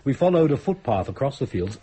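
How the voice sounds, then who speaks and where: An actor playing a modest, polite middle-class English husband and host in a naturalistic radio drama. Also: male voice, mid-range voice, very animated, fast talker, dark tone, a little hiss or hum, natural voice, low-quality recording. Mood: pleased, reminiscing. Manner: polite, self-deprecating.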